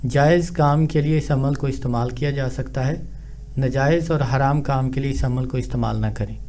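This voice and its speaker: A man with a dark, mellow voice delivers formal spiritual instructions as a healer. His phrasing is measured and deliberate, at a middling pitch. There is faint noise behind the recording. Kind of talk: instructional monologue, formal religious address.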